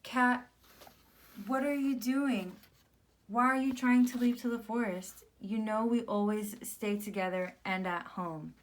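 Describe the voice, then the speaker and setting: A woman's voice: medium pitch, fairly even intonation, a little slow, few pauses, distant mic, lightly acted. A woman voicing a cautious, protective, scolding sister mouse in a children's story. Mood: worried scolding.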